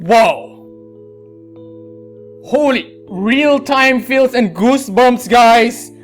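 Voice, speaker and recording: A woman giving casual, excitable, chatty reaction commentary as the host of a gaming video. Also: a little high, drawn-out words, faint music behind.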